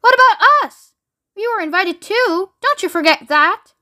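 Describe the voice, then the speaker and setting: Woman doing a shrill, wailing old granny. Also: a little fast, dry recording, studio quality. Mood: indignant. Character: indignant, wailing, demanding old grandmother. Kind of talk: children's storybook read aloud.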